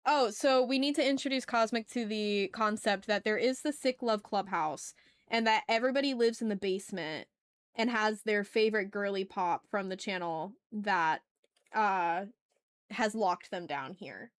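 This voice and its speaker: A woman's voice: medium pitch, normal speed, smooth, no room sound, clean studio sound. A woman chatting casually and playfully with her viewers as she hosts a live art stream. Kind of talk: casual livestream chat while drawing.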